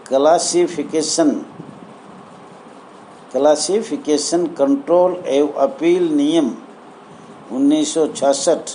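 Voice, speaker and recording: A man reading official documents aloud and explaining them in a halting, phrase-by-phrase way. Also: fairly high pitch, mellow, low-quality recording. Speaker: presenter explaining rules to fellow members. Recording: noticeable background noise.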